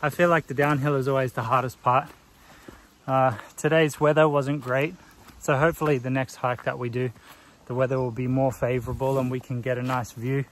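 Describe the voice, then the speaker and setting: A man in his thirties giving relaxed trail commentary to a phone held at arm's length, outdoors on a forest hiking path. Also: mid-range voice, a little fast. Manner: relaxed, informative, talking while walking. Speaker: hiking vlogger. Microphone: phone camera at arm's length.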